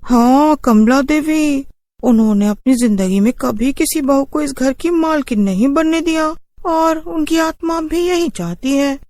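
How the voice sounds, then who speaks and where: A woman's voice: sits high, a little slow, darkish tone, dry recording, pitched above the performer's own voice. A woman voicing a wary, respectful maidservant who gives ominous warnings in a cartoon horror story. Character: wary village maidservant, deferential, full of warning.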